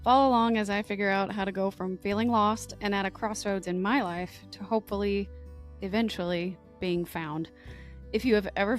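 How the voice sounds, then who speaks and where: A tired, hoarse woman hosting her podcast, chatting casually and rambling with fillers and restarts. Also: medium pitch. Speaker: podcast host. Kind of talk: casual solo podcast chat.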